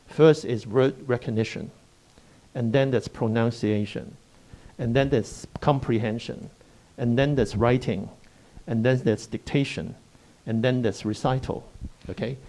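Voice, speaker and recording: A man's voice: medium pitch, creaky, mellow, low-quality recording. A middle-aged man on a panel, a kindergarten educator explaining his points emphatically and listing them one by one. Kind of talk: panel discussion. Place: Hong Kong.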